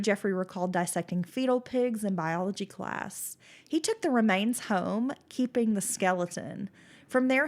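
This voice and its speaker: A woman co-hosting a casual true-crime podcast, her voice nasal and stuffy from a cold as she reads case details and chats. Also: mid-range voice. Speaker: podcast co-host.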